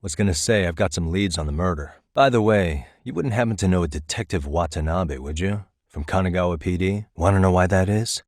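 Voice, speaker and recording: An actor playing a composed, probing private investigator in a video game, speaking in a measured, casually wry way. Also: male voice, low-pitched, no echo, studio quality. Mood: casual, businesslike. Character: composed, probing male private investigator, adult, dryly confident. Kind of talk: naturalistic game dialogue acting.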